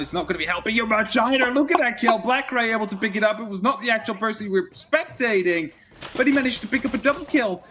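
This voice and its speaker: A man's voice: high voice, bright, forceful tone, a little hiss or hum. A man who is a non-native English speaker, giving live esports play-by-play and casual commentary. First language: not English.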